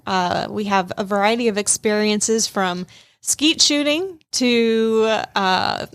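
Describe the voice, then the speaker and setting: A woman's voice: mid-range voice, dry recording, studio quality. Chatty woman with a Midwest-Southern mix. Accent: mixed Midwestern and Southern US. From Minnesota, raised in Georgia. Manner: chatty, animated storyteller who laughs easily. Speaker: guest, hospitality and events manager. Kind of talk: casual radio interview.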